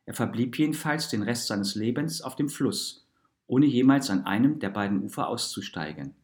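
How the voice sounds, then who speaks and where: Man, medium-pitched voice, scripted literary narration, very varied intonation, expressive and deliberate. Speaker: narrator reading a literary text. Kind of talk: scripted narration of an essayistic story.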